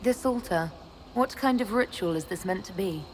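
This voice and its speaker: A woman's voice: medium pitch, animated, moderate pace, background noise, close to an everyday voice. An actress playing a composed, inquisitive female leader in a video game, talking plainly and directly. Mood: curious. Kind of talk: video game dialogue acting. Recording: game music behind the voice.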